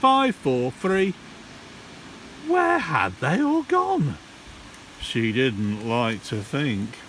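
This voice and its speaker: A man reads a storybook to children in a slow, theatrical voice that swings widely in pitch. He pauses for drama like a practised primary teacher. The recording is distant from the mic, with some hiss. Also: huge pitch swings, a bit bright. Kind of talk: expressive children's storybook read-aloud. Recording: distant mic, hissy background noise.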